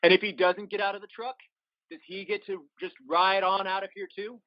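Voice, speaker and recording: A man's voice: high-pitched, flat delivery, fairly quick, no echo, muffled recording, lightly acted. Man acting a scrappy young biker, dry sound. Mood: challenging. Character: young male biker, reckless, impatient and scrappy. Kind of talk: audio play acting.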